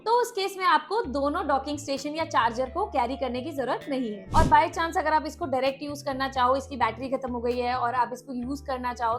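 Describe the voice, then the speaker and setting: High-voiced Indian woman reviewing a product. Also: a bit bright. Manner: explanatory, mixes in English words. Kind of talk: casual unboxing and product review to viewers.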